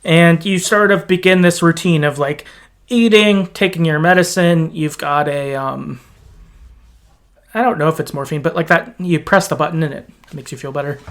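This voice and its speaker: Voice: medium pitch, moderate pace. A podcast host chatting casually, retelling a video game's story in loose paraphrase and hedged, informal phrasing.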